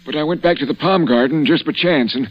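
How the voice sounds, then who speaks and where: A man's voice: on the high side, fast talker, natural voice. An actor playing a flustered, deferential male night porter who speaks hesitantly in a vintage radio drama. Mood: nervous, deferential. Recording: dull old radio recording.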